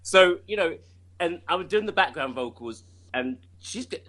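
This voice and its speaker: A man's voice: on the high side, lively intonation, moderate pace, pushed and bright, low-quality recording. A man talking casually as an interview guest, rambling and repeating himself, laughing often. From United Kingdom.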